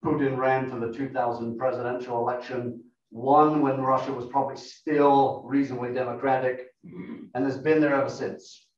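A man with a non-American accent gives a measured, explanatory talk, often pausing on fillers. His voice sits in the middle range and sounds distant from the mic. The room is very echoey and the sound is dull and lo-fi. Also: medium pitch, level tone. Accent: non-American English. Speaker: guest speaker, professor. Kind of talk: lecture talk with slides. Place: meeting room.

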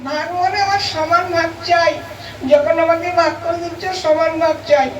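A man as a high-pitched, cheeky young brother. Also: steady tone, normal speed, lo-fi sound, slight background noise. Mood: stubborn, demanding. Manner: whining, cheeky, obstinate. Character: cheeky, stubborn, jobless young bachelor brother, puppet. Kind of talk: folk comedy puppet-show dialogue. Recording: roomy echo, distant microphone.

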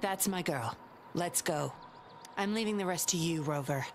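A woman playing a composed, confident young undercover investigator in a video game, speaking in a measured, self-assured way. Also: fairly low pitch, over music, lightly acted. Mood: gentle.

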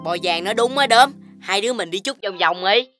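Casting: woman for mischievous little pig boy, children's animation, southern Vietnamese, mid-pitched, music bed. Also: animated, fairly quick, a bit bright, close to an everyday voice. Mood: eager. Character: chubby little boy piglet, carefree and mischievous. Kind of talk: children's cartoon dubbing. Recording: music behind the voice.

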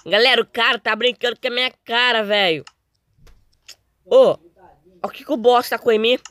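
A young man with a Brazilian accent and a slightly hoarse voice, doing casual, slangy gameplay commentary as a video host. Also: extremely high pitch, steady tone, normal speed, sharp tone, no echo. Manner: informal, slangy.

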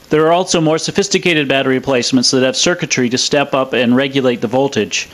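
A man presenting in a scripted, explanatory narration style. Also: medium pitch. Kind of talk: scripted narration of a radio restoration demo.

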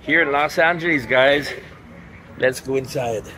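A man's voice: medium pitch, unhurried. A middle-aged man doing casual walk-around vlog narration, addressing viewers directly as he points out what is around him. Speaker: travel vlogger. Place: outdoors on city streets and parking lots. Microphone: phone or camera at arm's length. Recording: outdoor street and vehicle noise.

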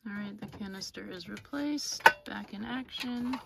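A woman in her thirties chatting casually in a cooking vlog, relaxed and rambling, thinking aloud as she goes, filmed on a phone at arm's length inside a parked car. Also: medium pitch, moderate pace, creaky. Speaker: vlogger. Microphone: phone camera at arm's length. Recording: noisy car interior.

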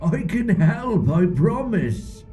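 A man's voice: high-pitched, very animated, slow pace, mellow, soft background music, pitched above the performer's own voice, exaggerated voice. A man voicing a falsely friendly ship's doctor, coaxing and reassuring, in a horror ASMR roleplay. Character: high-voiced friendly ship's doctor, a monster's coaxing disguise. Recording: close binaural recording with background noise.

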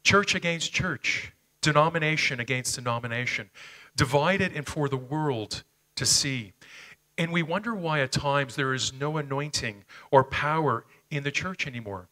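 A middle-aged preacher with a Canadian accent, raised speaking Slovenian at home, shares personal stories from a church stage. His voice is bright and forward, picked up by a headset mic. He talks earnestly and often stops to find his words. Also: fairly high pitch, normal speed, low-quality recording. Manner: earnest, personal storytelling with frequent hesitations. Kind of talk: sermon. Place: church stage, medium-sized hall.

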